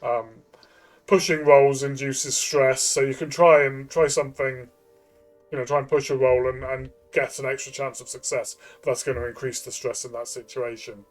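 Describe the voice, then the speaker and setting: A man chatting as a game reviewer, thinking aloud in a halting way with repeated words. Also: mid-range voice, flat delivery, soft background music. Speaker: tabletop game reviewer and player. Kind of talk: casual review discussion.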